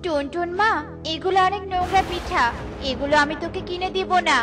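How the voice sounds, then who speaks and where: A woman's voice: extremely high pitch, brisk. A woman voicing a snobbish mother bird in a children's cartoon, disdainful and cutting, with music behind her. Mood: scornful. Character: snobbish, haughty mother bird scorning the poor.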